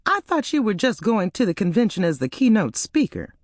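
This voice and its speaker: An ambitious wife and mother from an audiobook drama, voiced by a woman narrator. She is eager and questioning, with swooping, lively pitch in a dry recording. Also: a little fast, no room sound. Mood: puzzled, probing.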